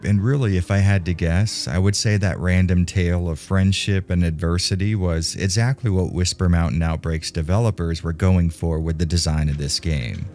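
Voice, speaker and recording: A man's voice: low voice, fairly even intonation, normal speed, few pauses, darkish tone. A man narrating a scripted video game review in a casual, conversational way, with wry, tongue-in-cheek humour. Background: background music.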